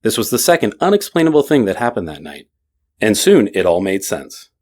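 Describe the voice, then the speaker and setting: Low-pitched male storyteller. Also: huge pitch swings, fairly quick, a little mellow. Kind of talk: scripted bedtime story narration.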